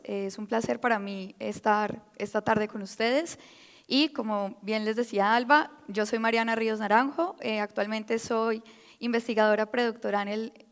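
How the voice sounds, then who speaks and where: A young woman from Colombia with a Colombian accent, a researcher giving a friendly public talk with frequent hesitations. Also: fairly high pitch. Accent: Colombian Spanish. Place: lecture hall. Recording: reverberant hall.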